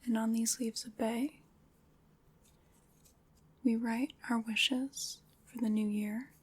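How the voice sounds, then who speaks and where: A woman playing a calm witch in an ASMR roleplay, leading a ritual in a hushed, soothing, deliberate whisper. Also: fairly high pitch, a little hiss or hum. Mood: gentle, intimate.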